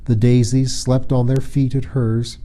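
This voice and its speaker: Very mellow, dark-voiced male storyteller. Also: medium pitch, level tone, a little slow. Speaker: audiobook reader. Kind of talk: expressive storybook narration.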